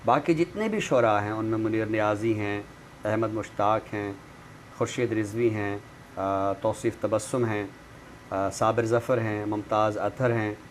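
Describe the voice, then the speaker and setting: A man speaking in a measured, formal, literary way as a commentator discussing books. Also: on the low side. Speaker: literary commentator and guest expert. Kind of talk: literary talk and book review with some poetry recital. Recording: clearly noisy background.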